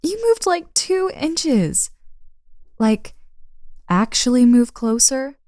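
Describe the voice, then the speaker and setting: A woman's voice: sits high, sing-song, takes it slowly, darkish tone, dry recording, clean studio sound, heavily acted. A woman playing a confident, teasing young woman in an intimate roleplay audio drama, speaking casually and playfully to the listener.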